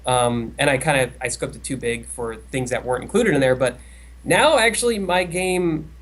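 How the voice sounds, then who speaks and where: A man's voice: medium pitch, normal speed, fairly bright tone. Hesitant, rambling male co-host. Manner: hesitant, rambling with many restarts. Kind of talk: casual podcast chat.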